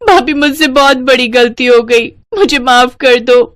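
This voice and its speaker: A woman's voice: sits high, steady tone, a little slow, a bit bright, dry recording, studio quality, pitched above the performer's own voice. A woman voicing a put-upon, plaintive young newlywed daughter-in-law in a cartoon story. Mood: remorseful.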